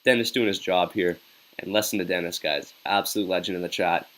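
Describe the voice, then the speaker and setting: A man's voice: deep voice, a little fast, fairly bright tone. Upbeat young man hosting a stream. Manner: upbeat and chatty, says "yo" a lot, hypes up viewers. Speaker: live-stream host reacting to a hockey game. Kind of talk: casual live-stream chat, reading viewer comments.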